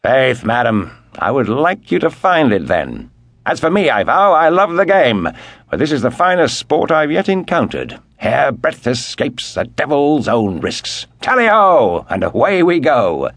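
A man voicing a jovial, loud young English nobleman who treats danger as sport. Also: very deep voice, sing-song, very slow, vocal fry, slightly rough, no echo, exaggerated voice. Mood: hearty. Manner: hearty, boisterous.

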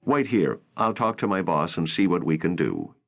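A man voicing a calm young male detective trainee. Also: low-pitched, normal speed, creaky, dull audio, heavily acted.

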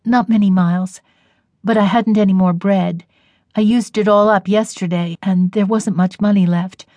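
A woman voicing a grave, weary young woman who speaks earnestly and quietly. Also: medium pitch, fairly even intonation, normal speed, dry recording, close to an everyday voice. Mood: weak.

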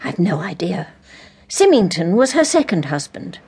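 An actress playing a forthright, eccentric English vicar's wife in an audio drama, emphatic, blunt and matter-of-fact. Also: female voice, on the low side, big pitch swings, normal speed, mellow, husky, exaggerated voice. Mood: brisk, disapproving.

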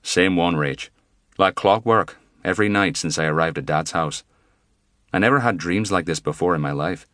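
A man's voice: low voice, normal speed, pushed and bright, no echo, clean studio sound. A man voicing an anxious young father who answers in a sheepish, reassuring way.

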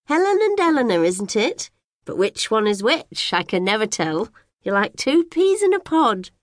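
A woman voicing a fussy, nosy elderly neighbour with a high, fluting voice. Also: very animated, normal speed, no echo, clean studio sound. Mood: cooing.

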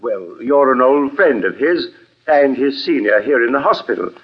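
An actor playing a concerned, tactful surgeon in an old radio drama, speaking formally and carefully. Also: male voice, mid-range voice, lively intonation, normal speed, husky. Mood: grave, tactful. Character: concerned hospital surgeon, discreet and tactful colleague. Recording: dull vintage radio recording.

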